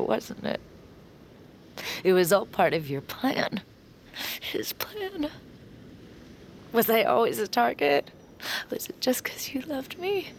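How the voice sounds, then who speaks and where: A woman's voice: fairly high pitch, a little hiss or hum, full character voice. An actress playing a defiant, heartbroken young woman mind reader held prisoner in an audio drama, raw and bitter in delivery. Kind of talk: naturalistic audio drama acting.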